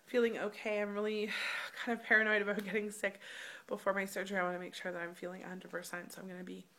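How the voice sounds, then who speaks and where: A woman in her thirties speaking candidly in a casual personal vlog, her voice slightly rough, with many ums. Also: mid-range voice, little pitch movement, quick pace, bright, forceful tone. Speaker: vlogger. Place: hotel room.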